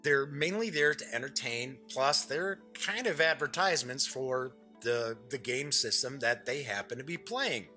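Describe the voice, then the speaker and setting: A man's voice: mid-range voice, animated, unhurried, hardly any pauses, sharp tone, soft background music. A middle-aged man hosting a tabletop gaming web show, chatting casually to viewers in a rambling, self-correcting way.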